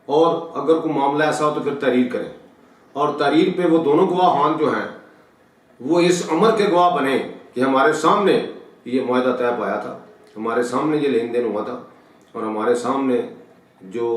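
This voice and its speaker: A middle-aged man gives a formal, deliberate law lecture with halting, repetitive phrasing. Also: medium pitch. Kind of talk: lecture for an online channel. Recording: very echoey room, distant microphone.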